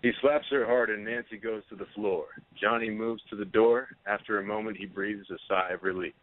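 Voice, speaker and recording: A man reads stage directions as the narrator of an audio play. His voice is fairly low. The recording is dull and narrow, lacking detail. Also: fairly even intonation, brisk, dry recording. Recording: dull, narrow phone-like sound.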